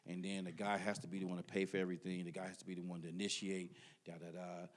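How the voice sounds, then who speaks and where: A middle-aged man with a fairly low voice makes his point emphatically. He repeats words when others cut in and holds the floor in casual podcast talk. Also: flat delivery, fast talker. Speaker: podcast guest. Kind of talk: casual debate.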